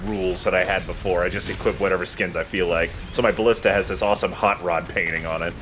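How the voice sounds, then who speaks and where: A man's voice: low voice, fairly even intonation, quick pace, background noise. A man giving casual, joking and sometimes profane game commentary as the host of a let's play.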